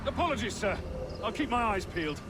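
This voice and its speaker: A man's voice: sits high, very animated, fairly quick, noisy background. An actor playing a hapless, servile lookout in a video game, apologetic and eager to please his superior. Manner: apologetic, deferential.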